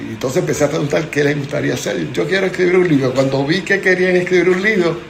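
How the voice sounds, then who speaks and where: A man's voice: a little high, fast, vocal fry, slight background noise. A man in his seventies from Puerto Rico with a Puerto Rican accent, a motivational speaker telling his life story as a guest in a conversational interview. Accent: Puerto Rican Spanish. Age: 70s.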